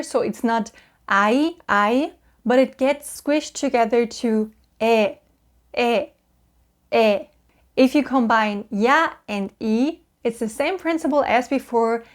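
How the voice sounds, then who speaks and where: A young woman with a German accent, patiently explaining Korean vowels and repeating each sound slowly. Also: fairly high pitch. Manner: cheerful, patient, drawn-out sounds for repetition. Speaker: Korean language teacher.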